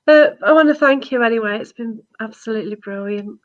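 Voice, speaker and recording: A woman's voice: fairly high pitch, fairly quick. A woman hosting a casual live chat over a video call, giggly and thankful toward her guest. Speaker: chat host. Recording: dull lo-fi stream audio.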